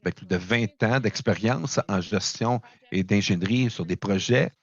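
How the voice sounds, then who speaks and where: Deep-voiced host introducing a guest. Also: male voice, normal speed, slightly rough, dry recording, muffled recording. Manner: hesitant, filler-heavy. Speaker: event host introducing a speaker. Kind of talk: speaker introduction.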